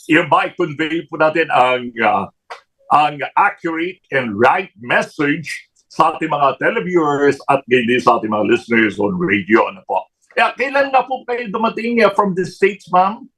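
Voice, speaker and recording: A man's voice: a little high, big pitch swings, moderate pace, fairly bright tone, slightly rough, poor audio. A man with a Filipino accent hosting a radio interview, mixing Tagalog and English with many fillers and restarts. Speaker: radio host. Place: radio studio.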